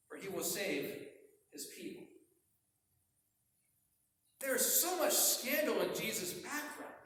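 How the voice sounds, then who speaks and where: A male pastor preaching a sermon in a church, conversational and wry, getting excited as he preaches. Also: high-pitched. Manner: gets excited and hard to follow when preaching. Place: church sanctuary. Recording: echoey hall, voice from far off.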